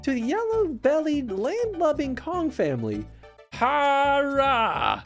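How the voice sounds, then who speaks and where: A woman's voice: high voice, sing-song, takes it slowly, faint music behind, voice pushed up, full character voice. A woman voicing a swaggering, villainous male crocodile pirate captain from a storybook, taunting and bombastic. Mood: gloating threat.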